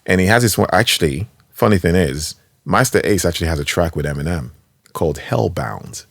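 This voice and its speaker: Deep gravelly animated man. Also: brisk, no echo, clean studio sound. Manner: animated, laughs easily, enthusiastic. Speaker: podcast host. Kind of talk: casual interview chat.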